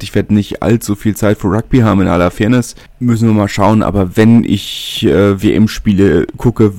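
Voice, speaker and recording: Man, podcast host, lowish voice, casual rambling delivery with fillers, dry close sound. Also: creaky, a little mellow, no room sound. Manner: casual, thinking aloud with many fillers. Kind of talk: solo podcast monologue.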